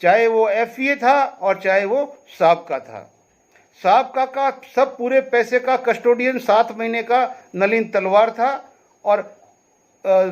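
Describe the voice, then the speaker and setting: A man giving direct commentary on veterans' affairs, talking emphatically to his listeners, explaining and pleading with them and repeating a tag question as he goes. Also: extremely high pitch.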